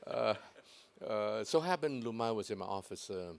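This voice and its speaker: A man hosting a talk event, speaking in a jovial, self-deprecating way with many hesitant pauses. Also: lowish voice, expressive, gravelly. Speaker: host of the event. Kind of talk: casual event chat.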